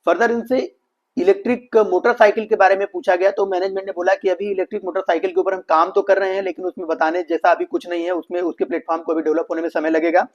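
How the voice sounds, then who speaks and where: Fast-talking Indian man, slightly high voice. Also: little pitch movement. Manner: explanatory, addressing viewers as friends, code-mixing English business terms. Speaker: financial analysis presenter. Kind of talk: explainer commentary on an earnings call. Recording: dull lo-fi voice recording.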